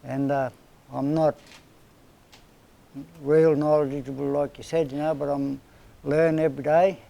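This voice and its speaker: A man in his seventies from northern New South Wales with an Australian accent, telling stories of his life in a relaxed, plain-spoken way during an interview. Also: fairly high pitch, a little slow, gravelly. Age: 70s. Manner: plain-spoken, rambling storyteller with everyday slang. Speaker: interviewee, community elder. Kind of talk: storytelling in casual conversation.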